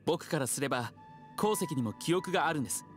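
An earnest young male worker voiced by a man, polite and soft-spoken, with lively intonation in a youthful voice. It is anime game dialogue with music clearly behind it. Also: a little high, a little fast, lightly acted. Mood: earnest, modest. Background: background music.

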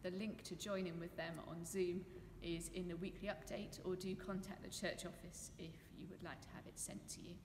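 A woman's voice: lowish voice, flat delivery, moderate pace, hardly any pauses, darkish tone. A British woman speaking as a vicar, giving notices to her congregation in a church. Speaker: vicar leading the service. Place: stone church interior. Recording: echoey church with long reverb, faint noise.

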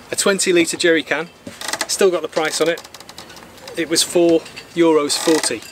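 Higher-pitched man presenting outdoors. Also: a bit bright. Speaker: sailing channel host. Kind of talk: instructional vlog to camera. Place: outdoors on a small boat in a marina. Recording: outdoor marina background noise.

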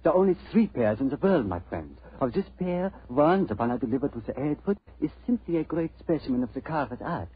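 Husky old German antique dealer. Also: male voice, a little high, sing-song, a little fast, few pauses, full character voice. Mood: earnest, explaining. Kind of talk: 1940s radio acting. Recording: tinny, band-limited old radio recording.

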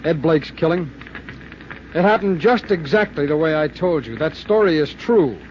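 A wry, defensive city attorney, played by a man in an old radio drama, with a high-set voice. The recording is dull and lo-fi, and a clear hiss runs under it. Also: expressive, normal speed, natural voice. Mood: guarded. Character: guarded male city attorney. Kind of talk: 1950s radio drama acting.

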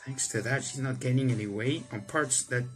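A man's voice: mid-range voice, brisk. A young man hosting a visual novel let's play, reading text aloud and adding exclamatory, theatrical asides. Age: young adult. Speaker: gaming video host. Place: indoor room. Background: game music.

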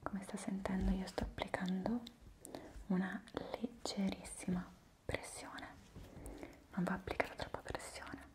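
A young woman in an ASMR roleplay as a palm reflexology therapist, whispering breathily with polite, soothing, unhurried instructions. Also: medium pitch, level tone. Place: small indoor room at a table. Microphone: lavalier microphones close by.

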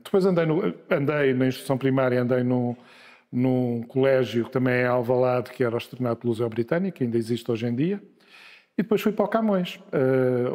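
A man in his sixties from Lisbon, telling his life story in a relaxed interview, pausing often with filler sounds. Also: medium pitch. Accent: Lisbon Portuguese. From Portugal. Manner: unhurried, hesitant with many filler sounds and restarts. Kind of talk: conversational interview. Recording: long hall reverb.

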